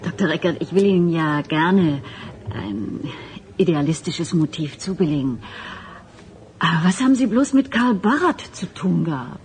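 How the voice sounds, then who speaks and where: A woman's voice: lowish voice, lively intonation, moderate pace, darkish tone, slight background noise, close to an everyday voice. A woman playing a sceptical, probing questioner in a German radio drama, speaking thoughtfully and directly.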